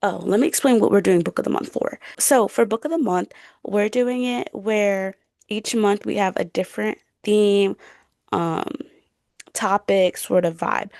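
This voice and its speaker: Woman, creaky voice, dry close sound, chatty, informal, emphatic, podcast co-host. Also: mid-range voice, a little slow, darkish tone, dry recording. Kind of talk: casual book chat.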